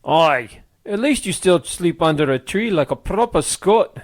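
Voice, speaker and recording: A man voicing a big, boisterous old Scottish man with a booming, hearty Scottish accent. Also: animated, unhurried, no room sound, clean studio sound.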